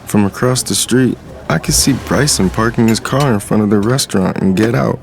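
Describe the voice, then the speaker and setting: Young male hustler, lowish voice, cocky, sly, casual, streetwise, audio drama narration. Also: a little fast, creaky, a little hiss or hum. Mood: smug, amused. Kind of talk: first-person dramatized story narration.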